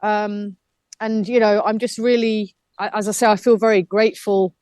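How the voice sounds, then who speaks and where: A woman's voice: mid-range voice, fairly even intonation, normal speed, a little mellow, dry recording. A woman in her fifties, a reflective, chatty guest on a radio interview who laughs easily. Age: 50s.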